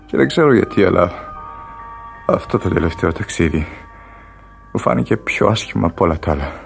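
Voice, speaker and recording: An actor playing a weary, feverish dying sailor in a Greek radio drama, halting and drifting toward whispers, full of regret. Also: male voice, low voice, expressive, creaky. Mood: regretful longing. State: weak, in pain. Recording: radio play with music underneath. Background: music.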